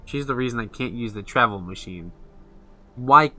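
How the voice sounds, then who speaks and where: A man's voice: medium pitch, moderate pace, pushed and bright, faint music behind. A man giving casual let's play commentary, informally talking back to the game characters. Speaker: gamer, video creator.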